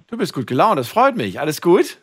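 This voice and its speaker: Male, late-night talk host, animated intonation, dry close studio sound. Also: fairly high pitch, fairly quick, husky, no echo. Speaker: radio call-in show host. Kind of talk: late-night radio talk, addressing listeners and callers. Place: radio studio. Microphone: close studio microphone.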